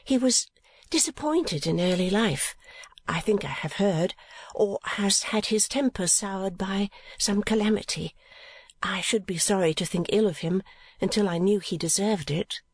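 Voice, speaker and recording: Storybook figure: earnest young woman, soft and halting, read by a woman narrator, dry close sound. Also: medium pitch, breathy edge, dry recording, pitched above the performer's own voice.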